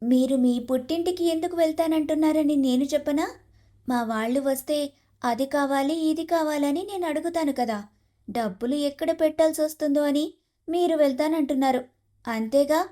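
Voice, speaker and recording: A woman voicing a sharp-tongued, aggrieved young Telugu wife in an animated moral story. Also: high voice, moderate pace, close to an everyday voice. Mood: sarcastic, quarrelsome.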